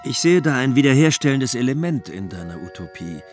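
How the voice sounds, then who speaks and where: An actor playing a sceptical, courteous humanist scholar in a German radio drama, questioning in a measured and precise way. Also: male voice, medium pitch, sing-song, faint music behind, natural voice. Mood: probing. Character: sceptical, learned humanist friend, courteous and probing.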